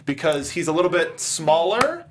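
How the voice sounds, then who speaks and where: Higher-pitched man hosting a casual panel show. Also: sing-song, normal speed, heavy background noise. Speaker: host of a film review panel show. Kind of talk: casual panel chat, steering the discussion.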